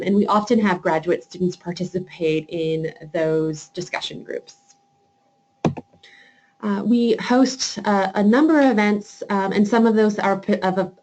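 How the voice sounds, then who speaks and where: A woman's voice: medium pitch, a little mellow, poor audio. A young adult woman, program coordinator, giving an informational webinar talk with frequent hesitations and fillers.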